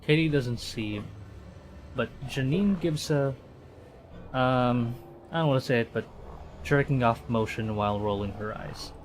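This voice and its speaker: A man giving casual, halting live game commentary and reading story text aloud. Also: mid-range voice, a little hiss or hum. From Philippines. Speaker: streamer.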